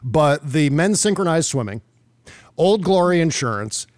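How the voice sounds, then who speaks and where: A man's voice: on the high side, lively intonation, unhurried, no echo. Irreverent American man, close dry sound. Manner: irreverent, joking. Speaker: podcast co-host. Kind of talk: casual political talk with clips and quoted articles.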